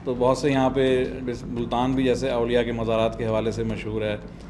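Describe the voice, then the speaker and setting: A man's voice: mid-range voice, monotone, moderate pace, background noise. A middle-aged man with a southern Punjab Pakistani accent, telling his story conversationally as a guest in an interview. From Multan, South Punjab, Pakistan. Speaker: podcast guest, doctor and motorbike traveller.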